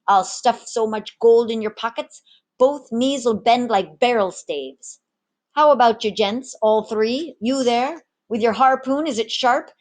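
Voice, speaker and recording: A woman voicing a scheming, bossy male pirate with one arm, talking in rough sailor slang for a storybook. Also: a little high, measured pace, pitched above the performer's own voice, natural voice. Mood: swaggering, enticing.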